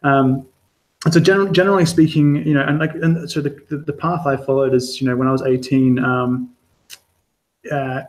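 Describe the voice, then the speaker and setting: Australian man, flat hesitant talk. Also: medium pitch, normal speed, low-quality recording. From Australia, Adelaide. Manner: hesitant, many fillers and restarts, candid. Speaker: freelance journalist giving a talk. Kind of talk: informal talk to an online audience. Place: home or studio for a live stream. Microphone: streaming setup.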